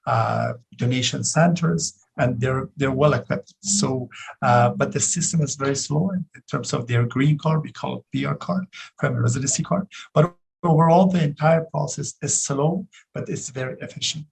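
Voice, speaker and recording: Raspy, halting man on a call. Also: mid-range voice, a little mellow, poor audio. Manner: halting, repeats himself. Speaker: panel speaker. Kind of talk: panel remarks.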